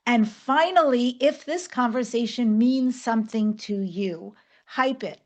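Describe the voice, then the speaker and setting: A woman hosts a podcast in a fairly high-pitched voice. She is from New York and addresses people directly, leaning on key words as she goes. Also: unhurried, a little mellow. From New York, United States. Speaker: podcast host. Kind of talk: podcast hosting, announcements and interviewing.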